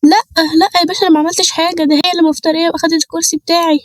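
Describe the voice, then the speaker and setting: Brief: a woman playing a shrill, pushy Egyptian older woman in a storybook tale, high-pitched and rattling fast, dry close voice. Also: little pitch movement, no room sound. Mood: whining. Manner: shrill, scolding, fake-pitiful. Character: brash bullying older woman on a train.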